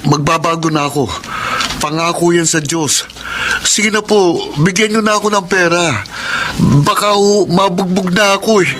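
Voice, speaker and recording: A man's voice: high-pitched, moderate pace, lightly acted. A man playing a pleading gambler son. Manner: pleading, evasive. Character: young man, a debt-ridden gambler son. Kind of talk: radio drama acting.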